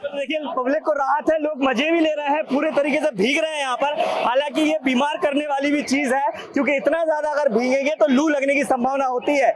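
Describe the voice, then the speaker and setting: Male field reporter, very high pitch, noisy crowded street, live report. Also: fairly even intonation, brisk, few pauses. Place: outdoors at a traffic signal. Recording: busy outdoor street with crowd noise.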